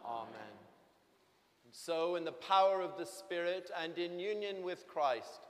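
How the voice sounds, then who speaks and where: A man's voice: high voice, expressive, a little slow, fairly bright tone. A man leading a church service as priest, reciting liturgy in formal, declamatory phrases. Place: large church interior. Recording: echoey church, congregation sound behind. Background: congregation.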